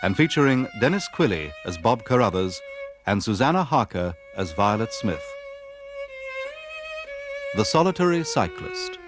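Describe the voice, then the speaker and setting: A man's voice: on the low side, very animated. Formal male radio announcer over violin. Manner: measured, formal. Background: violin music.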